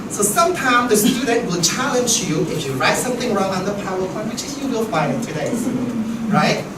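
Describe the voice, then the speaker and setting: A man's voice: high voice, sing-song, brisk. A man from Southeast Asia leading a teacher training session, speaking conversationally and often checking in with "right?". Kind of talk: workshop discussion. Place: training room. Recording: echoey room, mic far off, noisy.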